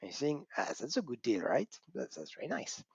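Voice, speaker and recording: A man's voice: mid-range voice, wildly swooping pitch, brisk, gravelly, slightly rough, no room sound. A middle-aged man with a French accent hosting a casual gaming channel, chatty and self-mocking, laughing often and whooping. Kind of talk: casual talk to camera. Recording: dull cheap mic, dry room.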